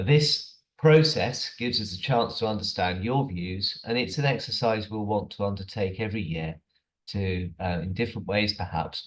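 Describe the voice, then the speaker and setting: A man giving a measured, formal webinar introduction over a video call, with small hesitations, before handing over to a colleague. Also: deep voice, poor audio. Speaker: senior staff member opening the webinar.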